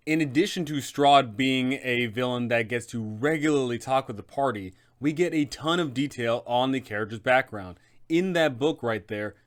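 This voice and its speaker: A man's voice: medium pitch, clean tone. A man hosting a game master's guide, narrating in an emphatic, conversational explainer style.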